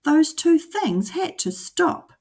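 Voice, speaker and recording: A woman schoolteacher reading a story and giving instructions to young children, with deliberate, careful phrasing. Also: high voice, very animated, darkish tone. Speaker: primary school teacher. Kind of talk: storybook read-aloud and lesson for young children.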